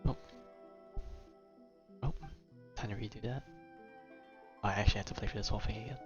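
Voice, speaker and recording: A man streams a game in a casual, muttering voice with little melody. He talks quickly and pokes fun at himself, over game music on a slightly muffled microphone. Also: lowish voice, fairly even intonation. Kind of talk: casual livestream gameplay commentary.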